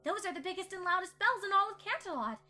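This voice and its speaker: Girl playing nerdy earnest pony in audio drama, high n bright, explains everything precisely, faint music behind. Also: expressive, fast talker, full character voice. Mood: earnest, wistful. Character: earnest, bookish young female pony, easily defensive. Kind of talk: amateur audio drama acting.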